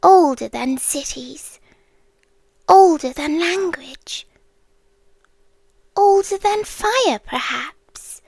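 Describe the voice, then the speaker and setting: A British woman playing an ancient, calm, doting apocalyptic girlfriend in a soft, partly whispered ASMR roleplay, speaking slowly and deliberately. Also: very high-pitched, no room sound, heavily acted. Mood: calm, ominous. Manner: slow, hushed, deliberate delivery.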